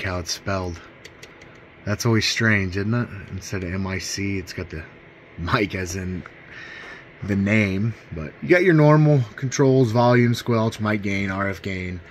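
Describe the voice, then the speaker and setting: A man's voice: lowish voice, fairly bright tone. A man giving a casual hands-on demonstration as a hobbyist host, talking in a folksy, informal, enthusiastic way. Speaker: host of a CB radio demo channel. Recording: clear background noise.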